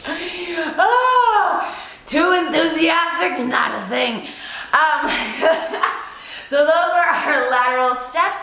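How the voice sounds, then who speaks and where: Hoarse, giggly woman coaching a home workout. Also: high voice, expressive, drawn-out words, pushed and bright. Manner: bubbly and giggly, coaching with playful asides. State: raspy, hoarse voice. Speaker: fitness coach. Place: apartment room. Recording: echoey room, distant from mic.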